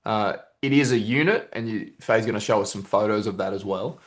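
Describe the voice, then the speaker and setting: A man's voice: lowish voice, brisk. A man speaking as a host and coach, introducing and talking with a guest in a casual online interview. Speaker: host, property investment coach. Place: remote video call.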